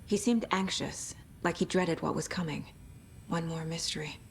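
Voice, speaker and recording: An actress playing a focused, determined investigator in a video game, speaking in a serious, analytical way. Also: female voice, deep voice, steady tone, normal speed, slight background noise. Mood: serious, resolute. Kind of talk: naturalistic video game acting.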